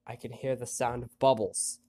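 Male let's-play host, stuffy nose, casual commentary, quietly reads game text. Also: normal speed. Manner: relaxed, chatty, reads story text in a quiet low voice. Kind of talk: casual gameplay commentary, reading on-screen text aloud.

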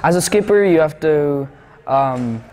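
A man's voice: a little high, lively intonation, unhurried, warm tone, faint music behind. A teenage boy, a student sailor, giving short, plain interview answers in front of a plain indoor wall. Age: teens.

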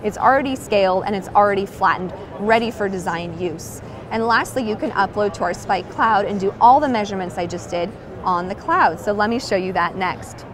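A woman's voice: mid-range voice, brisk, music in the background. A woman in her thirties giving an upbeat product demonstration at a trade show booth on a lapel mic. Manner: upbeat, clear sales presentation. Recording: trade show floor noise behind.

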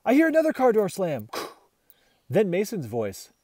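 A man narrates a children's storybook in an expressive, dramatic style. His voice is high for a man and swings widely in pitch, with a dry, close sound. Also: a little slow, no room sound. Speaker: storybook reader.

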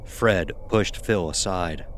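A man's voice: deep voice, slow pace, a little hiss or hum. A man narrating a scripted horror audio drama as its storyteller.

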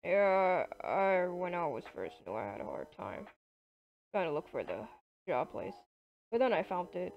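A woman's voice: lowish voice, big pitch swings, unhurried, gravelly, voice pushed down, full character voice. A woman voicing an easygoing, casual male boss in an improvised video game roleplay. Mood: reassuring. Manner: laid-back, casual. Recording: dull lo-fi home mic.

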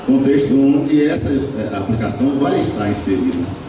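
A man's voice: medium pitch, animated, normal speed, background noise. Man lecturing in an echoey hall. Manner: explanatory, many tag questions and fillers. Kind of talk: university lecture. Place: lecture room with projector. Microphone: handheld mic. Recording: very echoey room, far from camera.

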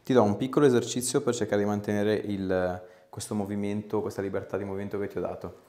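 A man in his thirties working as a chiropractor, giving calm, casual, reassuring instructions and short questions to a patient. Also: lowish voice, quick pace. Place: small clinic treatment room.

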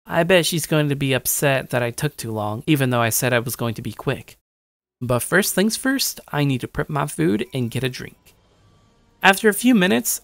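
A man's voice: on the high side, creaky, fairly bright tone, dry recording. A man playing a shy, awkward young loner gamer, narrating his own story in a self-deprecating way. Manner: self-deprecating, stammering when talking to others. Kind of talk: first-person story narration with acted dialogue.

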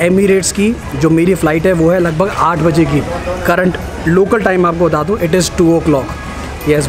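A young man vlogging his trip to the camera in a casual, enthusiastic tone, talking in Hindi mixed with English while he walks through streets, rides a bus and crosses an airport terminal. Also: a little high. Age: young adult. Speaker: travel vlogger. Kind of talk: casual travel vlog. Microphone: handheld camera at arm's length. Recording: loud background noise of street and terminal.

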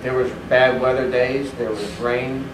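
A man's voice: medium pitch, little pitch movement, unhurried. A man on a panel answering audience questions, rambling with plenty of "you know" fillers. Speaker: panelist for the fishing industry. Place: hotel conference room. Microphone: distant room mic. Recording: echoey room, distant mic, air-conditioning hum.

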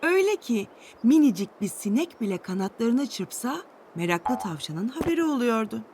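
A woman reading a scripted children's storybook tale with an expressive storytelling delivery. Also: on the high side, moderate pace, slight background noise.

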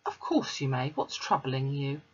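A woman playing a gentle kindly teacher. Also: extremely low pitch, big pitch swings, moderate pace, slightly rough, pitched below the performer's own voice, exaggerated voice. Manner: soft and patient. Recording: slightly muffled recording.

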